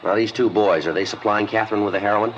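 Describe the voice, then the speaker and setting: A man's voice: on the low side, fairly even intonation, quick pace, lightly acted. A man playing a police detective in a 1950s radio drama, clipped and matter-of-fact. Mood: questioning. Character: terse male police detective, flat and businesslike. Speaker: police officer narrator. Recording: dull lo-fi old radio recording, clear hiss.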